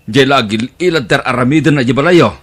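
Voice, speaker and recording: A man playing a compassionate, outspoken villager in an audio drama, talking in an expressive, chiding way. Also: mid-range voice, fast, slight background noise, lightly acted. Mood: concerned, scolding.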